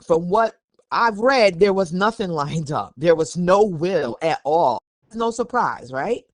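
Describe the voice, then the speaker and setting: A podcast host speaks at a medium pitch into a close mic with very little room sound. The delivery moves between reading an article and conversational commentary. Opinions come across candid and emphatic. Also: expressive, slow pace, no room sound.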